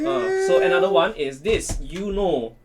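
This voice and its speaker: A man's voice: high voice, wildly swooping pitch, unhurried, over a noisy crowd. Rambling man, casual podcast guest. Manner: rambling, repeats himself, says "right" as a tag. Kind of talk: casual chat.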